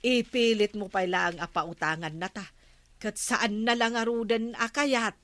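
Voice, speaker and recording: A cheeky, nosy village woman in an Ilocano radio comedy. She drawls slowly in a fairly high Filipino voice, captured with a dry studio sound. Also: fairly even intonation, a bit bright, no room sound. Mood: curious, grumbling. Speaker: radio drama actress. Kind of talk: broad radio comedy acting.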